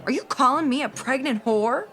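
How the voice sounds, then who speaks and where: A woman's voice: high voice, normal speed, a bit bright. An actress playing a worldly, feisty Old West dance hall girl, frank and plainspoken, in a radio western parody. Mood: offended, threatening. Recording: music and crowd chatter behind. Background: saloon music and crowd.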